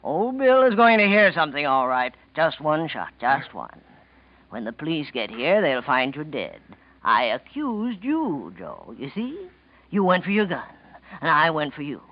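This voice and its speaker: Scheming, gloating killer in swooping high-pitched voice. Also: male voice, wildly swooping pitch, a little slow, heavily acted. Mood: gloating, taunting. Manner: taunting, theatrical, melodramatic. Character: scheming, gloating male killer, jittery and resentful. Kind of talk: 1940s radio mystery acting. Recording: muffled vintage radio drama recording.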